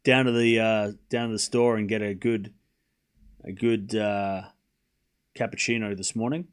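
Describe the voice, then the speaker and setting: A man's voice: lowish voice, fairly even intonation, measured pace, smooth. A man with a mild Australian accent hosting a live tennis watchalong, mixing commentary with casual chat and repeating phrases for emphasis. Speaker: livestream watchalong host and commentator.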